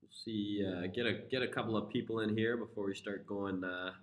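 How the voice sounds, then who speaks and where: A man's voice: on the low side, steady tone, brisk, roomy sound. A young man with a flu-congested voice hosting a casual live stream, laid-back and profane as he talks through charts.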